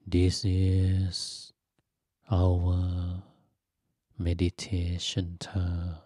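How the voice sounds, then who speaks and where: Very slow, low-voiced man guiding meditation. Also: completely flat delivery, no echo, studio quality. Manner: gentle, soothing, long pauses between short phrases. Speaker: Buddhist monk teaching meditation. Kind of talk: guided meditation.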